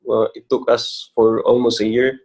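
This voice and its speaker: Late-twenties man, gravelly fry, mid pitch, shy, halting. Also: level tone, darkish tone. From Philippines. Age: 20s. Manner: shy, halting, laughs often. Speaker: guest. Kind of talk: casual chat.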